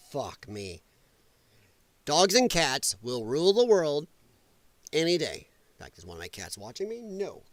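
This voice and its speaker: Animated, high-pitched man ranting. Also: measured pace, long pauses, no echo. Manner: exasperated, sarcastic, profane. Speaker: livestream host. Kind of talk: opinionated news commentary rant, reading an article aloud with asides.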